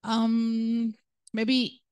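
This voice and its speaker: A woman's voice: a little high, monotone, extremely slow, darkish tone, clear, resonant tone, no room sound. A woman from Indonesia speaking as an author on a panel, earnest and thinking aloud, with many fillers. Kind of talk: panel discussion answer.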